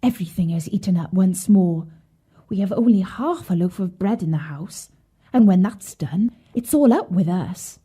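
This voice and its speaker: A woman voicing a cruel, scheming mother in a children's storybook, with a sharp, bossy delivery. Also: fairly low pitch, expressive, normal speed, husky.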